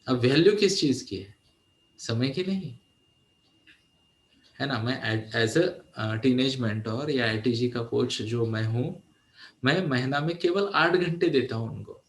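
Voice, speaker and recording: A man's voice: mid-range voice, slow, long pauses. Echoey forties man, motivational mentor. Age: 40s. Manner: mixes English phrases into Hindi, asks "है ना?" often. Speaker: teenage mentor and coach. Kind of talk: motivational talk to young listeners.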